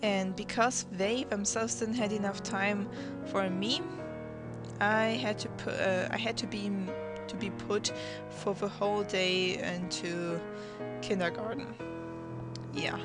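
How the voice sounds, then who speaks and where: A woman's voice: mid-range voice, steady tone, takes it slowly. A teenage girl from Russia, a native Russian speaker, narrating her life story in a hesitant way with many restarts. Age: teens. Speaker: channel host. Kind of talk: draw my life voice-over narration. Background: music.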